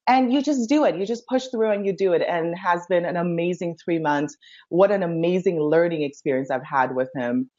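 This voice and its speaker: A woman's voice: fairly low pitch, moderate pace, darkish tone. A woman from India, a life coach appearing as a guest, telling her story in a casual, enthusiastic way. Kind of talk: casual interview chat. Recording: dull lo-fi sound.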